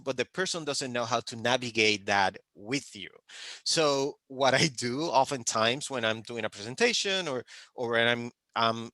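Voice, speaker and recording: A Puerto Rican man with a native Spanish speaker's accent shares advice and anecdotes on a panel. His voice is bright and forceful at a middling pitch, and the sound is very dry. He talks emphatically, circling back over phrases. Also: no room sound. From Puerto Rico.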